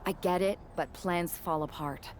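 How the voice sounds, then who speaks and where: A woman's voice: on the low side, fairly even intonation, a little slow, slight background noise, natural voice. A woman playing a blunt, wry ex-MMA wrestler and ally in a video game cutscene. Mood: cautious.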